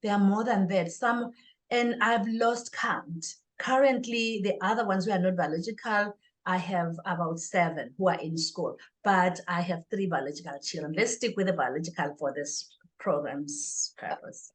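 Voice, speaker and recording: A middle-aged woman from Swaziland with a Southern African accent, talking reflectively as an interview guest in a deliberate, earnest way. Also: on the low side. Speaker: podcast guest, author and speaker. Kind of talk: reflective personal interview talk.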